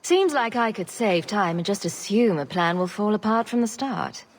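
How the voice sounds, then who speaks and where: A woman's voice: mid-range voice, very animated, moderate pace. An actress playing a confident female adventurer in a video game, with a dry, teasing, sardonic manner. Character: confident, dryly witty female champion adventurer.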